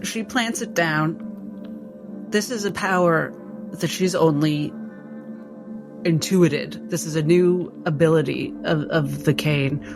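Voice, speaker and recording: Creaky-voiced woman narrating over music. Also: sits low, slow, pauses often. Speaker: tabletop game player. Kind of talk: narrating her character's actions in a tabletop game. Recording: music bed under the voice.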